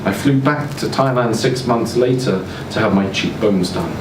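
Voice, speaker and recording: A man giving an expressive live reading of a first-person story, now and then stumbling over words. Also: on the low side, normal speed. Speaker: reader performing a short story at a live literary event. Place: indoor social venue, live event. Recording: roomy, distant mic, clear ventilation noise.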